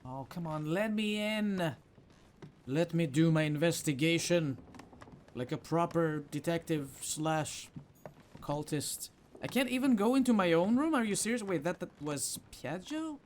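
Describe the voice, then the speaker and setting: A man's voice: on the high side, expressive, measured pace, a little hiss or hum. A man doing casual game commentary, playful, with muttered asides and exclamations. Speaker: gaming video host.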